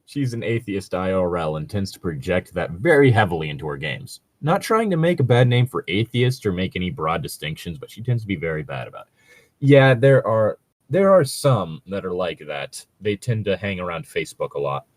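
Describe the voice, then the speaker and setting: A man's voice: low voice, sing-song, a little fast, no room sound. A man chatting casually as a co-host, commenting on stories in a rambling, hedging way with casual swearing. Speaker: co-host reacting to read-out stories. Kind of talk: casual chat and commentary on a read story.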